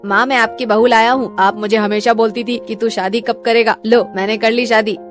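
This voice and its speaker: A woman's voice: fairly high pitch, fairly even intonation, a little fast, faint music behind, close to an everyday voice. A woman voicing an eager young man who has just married, pleading with his mother. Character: excitable young married son. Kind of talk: cartoon voice acting.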